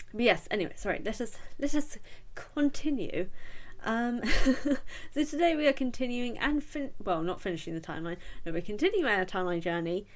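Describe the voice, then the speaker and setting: British woman with a stuffy cold. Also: a little high. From Britain. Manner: chatty and self-deprecating, with frequent ums and giggles. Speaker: podcast host. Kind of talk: solo book podcast chat.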